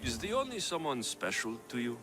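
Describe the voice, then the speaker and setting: A man acts a confident mercenary hero in a video game set in ancient Greece. He is wry and businesslike, with a medium-pitched voice and very flexible intonation. Music plays clearly behind him. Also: sing-song, moderate pace, lightly acted. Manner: dry, sardonic, businesslike. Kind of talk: video game dialogue acting.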